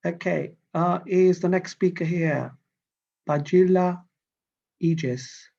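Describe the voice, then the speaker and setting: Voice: medium pitch, slow, gravelly, dark tone, muffled recording. A session chair moderating an online conference who politely thanks the speakers and briefly introduces the next one.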